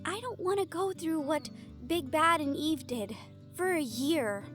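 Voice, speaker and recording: A woman's voice: high voice, measured pace, fairly bright tone, soft background music. A woman acting in an intimate audio roleplay as an anxious, tender young woman from a family of hunters, speaking earnestly and hesitantly. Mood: worried, earnest.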